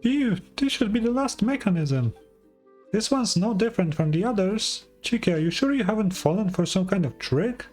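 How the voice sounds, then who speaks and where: A woman voicing a small, cheeky fairy companion in a video game with a squeaky, childlike voice. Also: expressive, normal speed, a little mellow, faint music behind, close to an everyday voice. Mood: skeptical.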